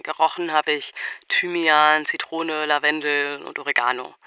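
Very bright, higher-voiced deadpan man. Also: clean tone, no echo. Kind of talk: deadpan first-person monologue. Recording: faint music bed underneath. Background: quiet music.

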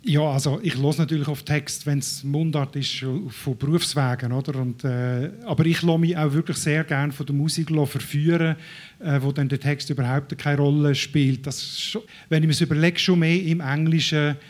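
A man's voice: on the high side, fairly even intonation, moderate pace. A man with a Swiss German accent, a scientist guest, answering reflectively with frequent hesitations. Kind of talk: casual interview reply.

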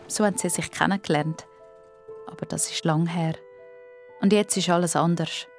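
A woman with a Swiss German accent narrating a scripted children's story. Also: fairly low pitch.

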